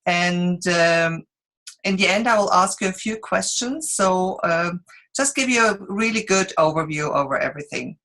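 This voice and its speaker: A middle-aged woman from Switzerland with a Swiss accent, telling her story as a guest speaker in a relaxed, unscripted way with halting restarts. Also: lowish voice. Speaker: guest speaker, sales consultant. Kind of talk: informal presentation on a group call.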